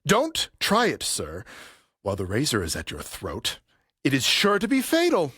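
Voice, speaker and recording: An actor playing a vengeful graying barber in an audio play, speaking with servile politeness and cool menace. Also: male voice, medium pitch, huge pitch swings, moderate pace, a bit bright, slightly rough, no room sound, clean studio sound, full character voice. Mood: taunting, controlled.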